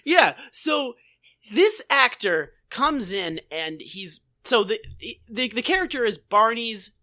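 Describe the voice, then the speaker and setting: A woman with a high, bright voice chats casually as a podcast co-host. Her pitch swings widely as she riffs in an irreverent, exclamatory, sweary style. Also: sing-song, takes it slowly. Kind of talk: casual comedic chat about an old TV show.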